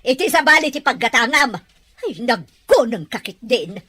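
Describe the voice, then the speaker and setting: A woman's voice: high voice, big pitch swings, normal speed, pushed and bright, breathy edge, no room sound, heavily acted. A Filipino woman playing an imperious, nagging rich mistress and mother in a melodramatic audio drama. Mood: scolding.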